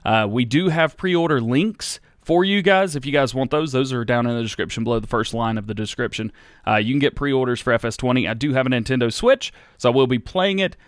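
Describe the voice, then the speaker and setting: An American man in his thirties, hosting a casual Q&A on his gaming channel, reading out viewers' questions and answering in a relaxed, rambling way. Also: medium pitch, fairly quick, smooth, dry recording, clean studio sound. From United States. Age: 30s. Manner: relaxed and rambling, with many fillers and restarts. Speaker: YouTube gaming host.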